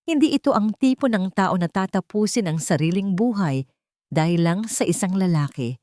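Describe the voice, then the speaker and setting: A woman's voice: medium pitch, lively intonation, moderate pace, dark tone, dry recording, clean studio sound. A woman with a Filipino accent reading a scripted dramatic story as an audiobook narrator.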